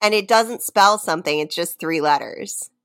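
A woman's voice: fairly low pitch, normal speed, fairly bright tone. A woman hosting a podcast guessing game in casual banter, with a playful, teasing manner.

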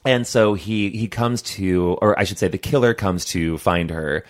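Brief: male podcast co-host, very low voice, agreeable, dry humor. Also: normal speed. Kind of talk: casual chat reviewing a movie.

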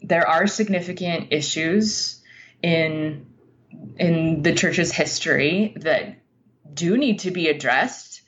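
A woman giving a reflective, conversational interview as a guest writer, speaking candidly and informally in a halting way over muffled audio. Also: sits low, fairly bright tone, a little hiss or hum.

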